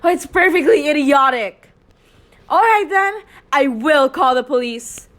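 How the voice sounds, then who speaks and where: Woman playing a high-pitched, frantic, imperious invalid wife. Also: expressive, a little slow, very noisy. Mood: exasperated, indignant. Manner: agitated, insistent, indignant. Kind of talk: melodramatic radio play acting.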